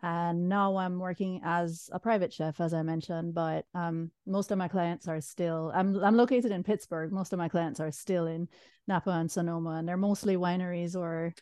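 A woman from Jamaica with a Jamaican accent, chatting as a guest chef on a podcast, relaxed and quick to laugh. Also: on the low side, smooth, dry recording, studio quality. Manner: relaxed, laughs easily, restarts phrases. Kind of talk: casual podcast chat.

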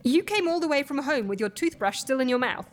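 A woman playing a dry, exasperated office colleague in a comedy audio drama, deadpan and incredulous. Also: high-pitched, fairly quick.

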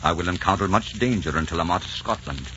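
Old radio romance: deep, theatrical nobleman lover, ardent, solemn, resolute, on a dull period recording. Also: quick pace, sharp tone, a little hiss or hum. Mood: bitter, urgent. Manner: theatrical, tender, solemn phrasing. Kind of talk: 1940s radio romantic drama acting. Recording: muffled 1940s radio recording with music.

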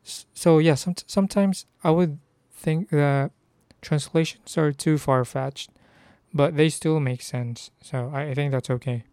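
A hoarse young man from the Philippines, whose first language is Filipino, chatting casually about learning Korean and stopping to restart his sentences. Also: fairly high pitch, steady tone, unhurried, a little mellow, no room sound. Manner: chatty, self-correcting, reads phrases aloud.

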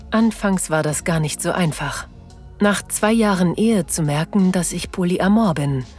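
A sensual, playful wife tells her story in an intimate audio drama , performed by a woman. Her voice is on the low side and very unhurried, breathy and often sinking toward a whisper. Soft music plays behind her. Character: excited married woman, enamoured, sensual and playful. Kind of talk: intimate first-person German audio drama narration.

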